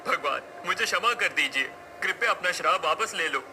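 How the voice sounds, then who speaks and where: A man's voice: high-pitched, expressive, normal speed, over music. A man playing a proud king in a cartoon folk tale, with formal, regal phrasing. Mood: pleading. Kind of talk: cartoon story acting.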